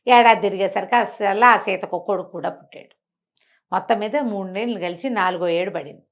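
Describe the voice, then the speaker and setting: A woman's voice: mid-range voice, brisk. A woman telling a story conversationally, in colloquial speech, posing rhetorical questions as she goes along.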